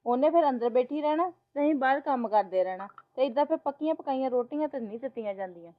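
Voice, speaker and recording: Advising sister-in-law played by a woman, high voice, muffled lo-fi. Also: lively intonation, fast. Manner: advising, explaining patiently. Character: sensible elder sister-in-law, young married woman.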